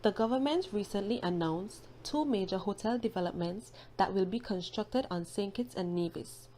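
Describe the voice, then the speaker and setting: A woman's voice: medium pitch, normal speed, mellow. A woman reading scripted news as a presenter in a formal, careful manner. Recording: faint ambient noise behind narration.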